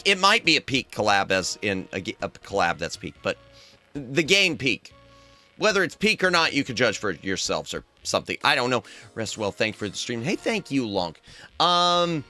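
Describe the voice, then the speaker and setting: A man's voice: medium pitch, bright, forceful tone. Tired, playful male streamer. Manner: playful, rambling, hums tunes to himself. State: tired, strained throat. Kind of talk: casual livestream chat.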